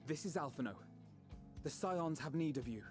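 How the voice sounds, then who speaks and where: A man playing an earnest, well-spoken young strategist in a video game, eloquent and deliberate. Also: on the high side, expressive, faint music behind, natural voice. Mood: resolute. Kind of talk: video game cutscene acting.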